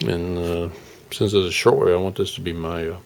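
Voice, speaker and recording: A man giving a casual, unscripted hands-on demonstration as a hobbyist, explaining in a halting, rambling, folksy way. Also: low-pitched, fairly even intonation, darkish tone. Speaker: hobbyist radio restorer presenting his project.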